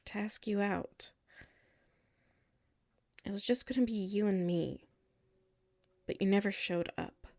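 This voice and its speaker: A woman's voice: mid-range voice, slow pace, long pauses, darkish tone. A woman playing a hurt, defensive tsundere coworker with a secret crush in a roleplay audio, exasperated and emphatic, dropping into sulky murmurs. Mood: wounded, confessional. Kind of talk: roleplay audio acting, one side of a conversation.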